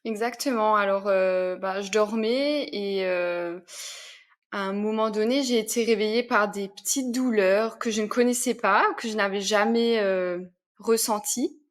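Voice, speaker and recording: A woman from Alsace with an Alsatian accent, giving a personal testimony as an interview guest, speaking softly and haltingly. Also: mid-range voice. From Alsace, France. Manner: soft, halting, many fillers.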